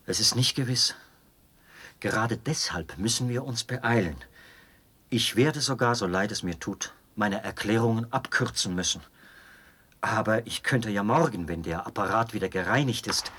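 An actor in a German radio play as a zealous, meticulous officer who explains a machine with pedantic pride. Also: male voice, fairly low pitch, bright, forceful tone, breathy edge, full character voice.

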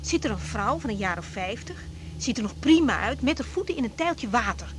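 Lively high-voiced young woman doctor. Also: a little fast, a little hiss or hum. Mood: animated, confiding. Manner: hesitant, repeating words, informal. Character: young woman doctor, frank, caring but easily thrown. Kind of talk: naturalistic Dutch radio drama acting.

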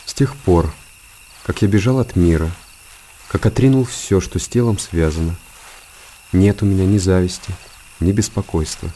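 Radio drama: secluded hermit monk, male, actor, low and mellow, reflective monologue over chirping night ambience. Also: normal speed, long pauses, a little hiss or hum, close to an everyday voice. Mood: serene, detached. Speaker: narrator in a radio play.

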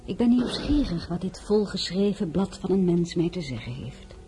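A woman playing the proud, sharp-tongued heroine of a radio play, narrating and speaking with cool, cutting restraint. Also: fairly low pitch, expressive, moderate pace, over music, natural voice. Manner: cool, cutting, sometimes whispered. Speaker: narrator and lead role.

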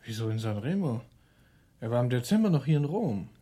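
A man's voice: mid-range voice, very animated, a little fast, warm tone, breathy edge. An actor playing a guarded, nervous young American man hiding crimes in a radio drama.

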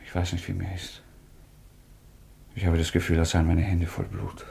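A man's voice: low-pitched, flat delivery, normal speed, vocal fry, a little mellow. A man playing a passionate, impulsive young nobleman in a radio drama, with a lyrical, fervent, declamatory delivery. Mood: horrified, pleading. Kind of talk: theatrical German radio drama acting.

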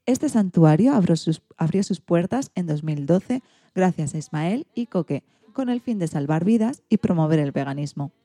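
A woman's voice: lowish voice, animated, normal speed, warm tone, no room sound. A woman from presenting a radio programme in scripted narration.

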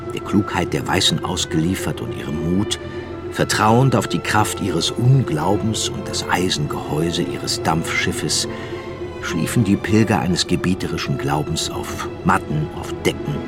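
Male narrator for literary radio play: low register, solemn and measured, strong music bed underneath. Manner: measured, solemn, dramatic phrasing. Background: prominent music.

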